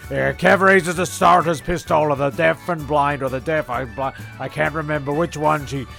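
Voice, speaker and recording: A man's voice: fairly high pitch, fairly even intonation, moderate pace, gravelly, a bit bright, soft background music. A man narrating a comedy radio play in a hesitant, rambling way, often repeating himself.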